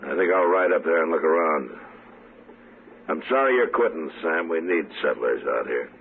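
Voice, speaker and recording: An American man playing a grim, steady Old West marshal in a 1950s-style radio drama, both in dialogue and narration. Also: very deep voice, normal speed, gravelly, a little hiss or hum, exaggerated voice. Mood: resigned. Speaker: lead actor and first-person narrator. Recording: dull vintage radio recording, music behind.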